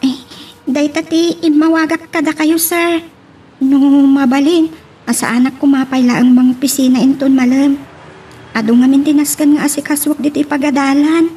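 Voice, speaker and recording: Woman acting emotional ilocano mom in a radio drama, high voice, bit far from mic. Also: steady tone, moderate pace, warm tone, slight background noise, close to an everyday voice. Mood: grateful. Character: earnest, emotional mother with a long-kept secret.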